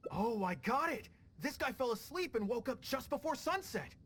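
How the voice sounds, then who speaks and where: An actor playing an eager, deferential rogue in a video game who wants to go straight, earnest, excitable and a little hesitant. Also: male voice, extremely high pitch, moderate pace, breathy edge, heavily acted. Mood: excited, eager.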